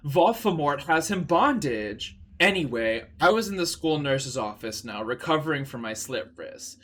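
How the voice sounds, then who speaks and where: A man's voice: fairly high pitch, sing-song, moderate pace, fairly bright tone. A man streaming a comedic dramatic reading of a story, in a theatrical, mock-serious way.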